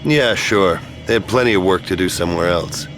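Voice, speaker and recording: An actor playing a gruff, wary hero in a video game cutscene, with a dry, terse delivery. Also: male voice, low-pitched, fairly quick, background noise, natural voice.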